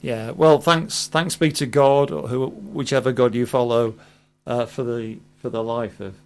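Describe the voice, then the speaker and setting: A man with a British accent hosting a casual radio interview in a hesitant, halting manner. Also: medium pitch, steady tone, a little mellow, smooth. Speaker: radio host.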